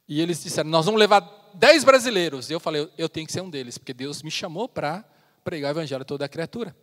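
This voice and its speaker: A man in his fifties from China, giving an earnest personal testimony as a missionary speaker in a church, with pauses and restarts. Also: fairly high pitch. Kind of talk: testimony sermon. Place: church sanctuary. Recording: long church hall reverb.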